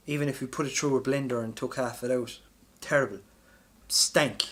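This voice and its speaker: A man's voice: mid-range voice, fairly even intonation, normal speed. An Irish man chatting casually as a podcast co-host, blunt and sweary, telling anecdotes. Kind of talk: casual chat, film review.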